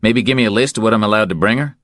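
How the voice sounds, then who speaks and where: A man's voice: lowish voice, rapid-fire, a bit bright, no room sound, clean studio sound, pitched above the performer's own voice, lightly acted. A man playing a terse, persistent, plain-spoken male drifter in an audiobook. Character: Reacher, terse, persistent male drifter asking after a jailed friend. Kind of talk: audiobook character voice.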